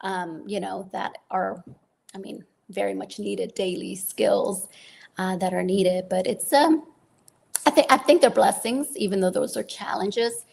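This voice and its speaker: A woman's voice: mid-range voice, unhurried, creaky, husky. A woman interview guest, talking in a rambling, laughing way about her early life. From born in the Philippines to Vietnamese parents. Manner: warm and laughing often, rambling restarts. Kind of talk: interview storytelling.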